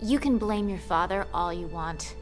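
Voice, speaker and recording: An actress playing an earnest, determined young American female agent in a video game cutscene, speaking deliberately. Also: on the low side, over music, natural voice. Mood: earnest, firm. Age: young adult. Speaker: video game character actor.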